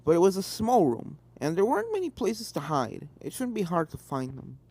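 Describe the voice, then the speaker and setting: Lively woman reading a story aloud. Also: fairly low pitch, moderate pace, slightly rough, no room sound. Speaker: narrator. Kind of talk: expressive scripted story narration. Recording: music behind the voice.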